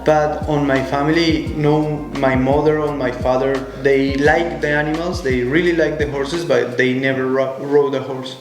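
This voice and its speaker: A young adult Colombian man with a Spanish accent, speaking thoughtfully as an interview guest in halting non-native English. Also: medium pitch, little pitch movement. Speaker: guest, show jumper. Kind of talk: conversational interview. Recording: echoey room, faint music.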